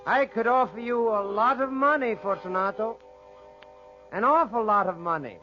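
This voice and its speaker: A man's voice: sits high, moderate pace, faint music behind, close to an everyday voice. An actor playing a scheming, insistent man who coaxes and wheedles, in a 1940s radio drama. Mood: wheedling. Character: scheming man coaxing a child with bribes. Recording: muffled 1940s radio recording.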